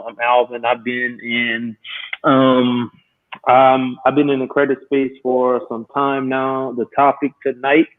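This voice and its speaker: A man in his twenties, a guest, introducing himself in a relaxed, hesitant way with many fillers. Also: medium pitch, no room sound. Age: 20s. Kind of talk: casual self-introduction on a call. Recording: tinny dry phone line.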